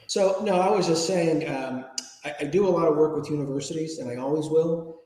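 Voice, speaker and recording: A man's voice: fairly high pitch, fairly quick, warm tone. A middle-aged man answering interview questions in a plain-spoken, conversational way, explaining with examples, in an echoey room. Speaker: podcast guest, ethics trainer and public speaker. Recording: echoey room with long reverb.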